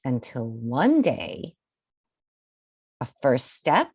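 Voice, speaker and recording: A woman reading aloud to children in an expressive, theatrical storytelling style, drawing out key words. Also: very deep voice, extremely slow, breathy edge, no room sound, clean studio sound. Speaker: children's picture book reader.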